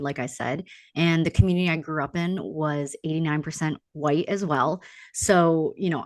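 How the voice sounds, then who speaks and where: A woman's voice: sits low, no room sound. A young American woman from upstate New York chatting casually and candidly as a podcast guest. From upstate New York, United States. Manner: informal, candid, frequent use of "like". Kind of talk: casual interview chat.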